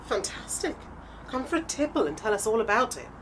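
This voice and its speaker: Woman acting posh eccentric adventuress, British, breezy, theatrical, bright-spirited flippancy, very animated highish pitch, audio drama. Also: brisk, noisy background. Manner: flippant, theatrical, genteel endearments.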